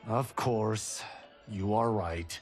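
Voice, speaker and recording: An actor playing a humble, eager and deferential young monk in a video game. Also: male voice, lowish voice, very slow, faint music behind. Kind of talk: video game cutscene acting.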